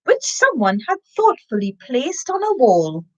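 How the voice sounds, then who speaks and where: A woman's voice: sits high, huge pitch swings, unhurried, highs cut off. A woman from Trinidad doing a children's story time, reading expressively and talking casually straight to her young listeners.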